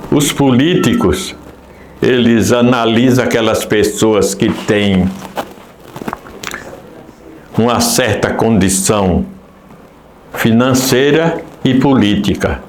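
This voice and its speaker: A man, slowly recalling his past in a documentary interview. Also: mid-range voice, slight background noise. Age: 80s. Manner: unhurried, halting recollection. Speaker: former mayor interviewee. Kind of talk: documentary testimony, reminiscing. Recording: echoey room with faint ambience.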